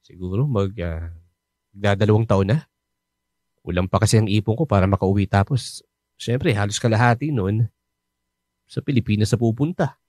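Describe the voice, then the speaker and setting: An actor playing a talkative, helpful fellow overseas worker who jokes and reassures, in a radio drama. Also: male voice, lowish voice, normal speed, pauses often, a little mellow, no echo, studio quality, natural voice. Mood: friendly. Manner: chatty, joking, reassuring. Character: chatty, helpful coworker who knows everyone.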